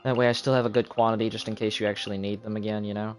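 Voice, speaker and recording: Male game streamer, fairly low register, offhand self-directed muttering, music playing clearly behind him. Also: flat delivery, very fast. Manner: muttering to himself, counting aloud. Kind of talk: casual live gameplay commentary.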